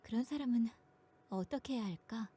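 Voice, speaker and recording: An actress playing a gentle, despairing young woman in a visual novel, with a trembling voice that sounds near tears. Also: on the high side, very fast. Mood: sad, resigned. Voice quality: trembling, breathy at phrase ends. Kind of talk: dramatic visual novel voice acting.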